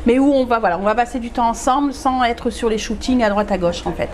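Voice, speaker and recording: A woman's voice: fairly high pitch, fast talker, crowd noise. A middle-aged woman who organizes photo events, answering interview questions enthusiastically and with lots of fillers, recorded on a lapel mic in a greenhouse. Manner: chatty, enthusiastic, with many fillers. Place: glass greenhouse, indoors.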